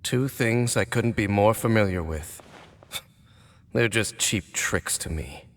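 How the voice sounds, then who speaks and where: An actor playing a calm, solemn ancient knight in a video game, speaking in a measured, composed way. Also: male voice, fairly low pitch, in a large hall. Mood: grave. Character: grave ancient male knight, calm and knowing.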